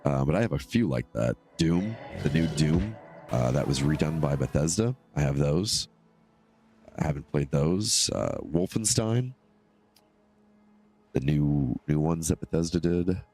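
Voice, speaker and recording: A man with a stuffed-up, nasal voice giving casual, low-key, rambling solo commentary as he live streams a game. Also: animated, unhurried, over music. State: congested from allergies.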